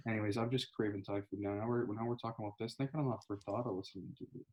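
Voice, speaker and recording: A young man, co-hosting a casual podcast chat, swearing often and excitably talking over his co-host. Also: fairly low pitch, very fast, highs cut off, a little hiss or hum.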